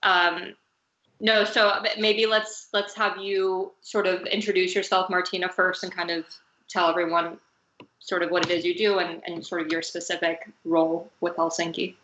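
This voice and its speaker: A woman's voice: on the low side, flat delivery, normal speed, sharp tone, reverberant, highs cut off, slight background noise. A woman from California, a fashion designer hosting a casual live-streamed conversation, hedging with many fillers. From California, United States. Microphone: video call.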